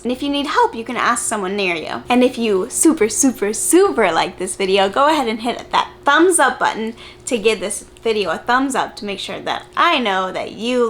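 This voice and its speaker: A woman reading a picture book aloud to young children, expressive and encouraging, putting simple questions to them like a preschool teacher. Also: fairly high pitch, slight background noise.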